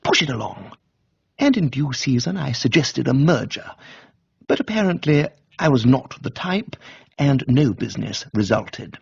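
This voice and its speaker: A man's voice: mid-range voice, expressive, normal speed, creaky, slightly rough, no room sound, dull audio. A man with an upper-class English accent reading first-person comic narration in a wry, droll manner. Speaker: audiobook reader.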